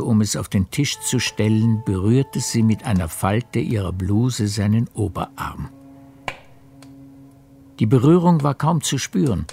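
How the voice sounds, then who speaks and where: Male narrator, low creaky voice, measured literary storytelling, radio play.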